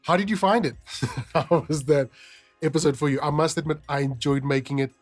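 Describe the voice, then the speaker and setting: A man's voice: on the high side, a little fast, dry recording. Male podcast host over a music bed. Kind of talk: podcast narration and informal address to listeners.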